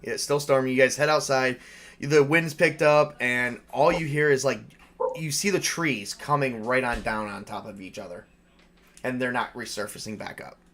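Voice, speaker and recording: A man's voice: medium pitch, normal speed, fairly bright tone. A man running a tabletop roleplaying game as game master, narrating casually and conversationally.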